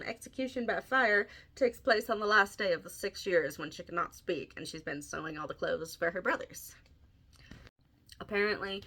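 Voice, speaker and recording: A woman's voice: medium pitch, bright, forceful tone. A woman tells an old fairy tale in a casual podcast style, with a mid-range voice. She talks informally, as if explaining it to friends, and drops in dry, wry comments along the way. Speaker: podcast host and author.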